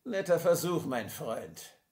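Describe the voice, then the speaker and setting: A menacing kidnapper in a crime radio play, played by a man. He sneers his threats in a fairly high male voice. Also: big pitch swings, takes it slowly, darkish tone.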